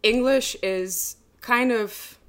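A young woman, a native Russian speaker, chatting as a podcast guest and pausing often to search for words. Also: fairly high pitch, a bit bright, smooth. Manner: hesitant, searching for words with many ums. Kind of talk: casual chat.

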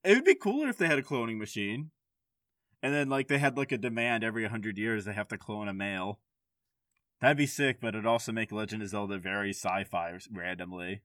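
A man's voice: fairly low pitch, animated, brisk, a bit bright, no room sound, studio quality. A man speaking frankly and casually as a podcast host, swearing now and then.

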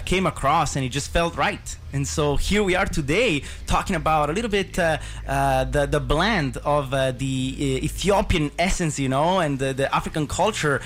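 A man's voice: fairly high pitch, fairly bright tone. A man hosting a radio film show, chatting enthusiastically with many fillers and restarts. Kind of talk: casual radio interview. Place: radio booth.

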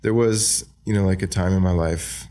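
A young man from Australia with an American accent, talking softly and hesitantly as a podcast guest, with many fillers. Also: low-pitched, steady tone, normal speed. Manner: soft-spoken, hesitant, many ums and likes. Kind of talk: casual interview talk.

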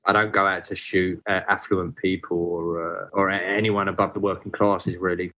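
A man's voice: sits low, little pitch movement, moderate pace, pushed and bright. A man talking as a photographer guest in a casual podcast chat, hesitant with many fillers and restarts.

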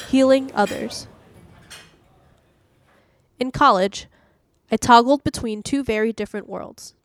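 A woman's voice: medium pitch, creaky, no room sound, studio quality. A woman hosting and narrating a scripted devotional reading. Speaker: host reading daily devotionals.

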